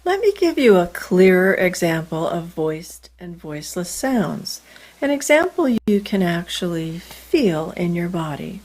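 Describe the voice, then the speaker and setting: A woman teaching English pronunciation in a scripted lesson, enunciating deliberately and carefully. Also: on the low side, big pitch swings, a little mellow, no echo. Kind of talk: scripted instructional lesson with demonstrations.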